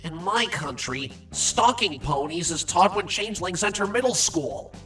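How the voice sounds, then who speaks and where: A woman's voice: fairly low pitch, expressive, moderate pace, natural voice. A woman voicing an innocent young male changeling, speaking frankly and earnestly in a cartoon fan audio drama. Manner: earnest, matter-of-fact. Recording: distant mic, music behind.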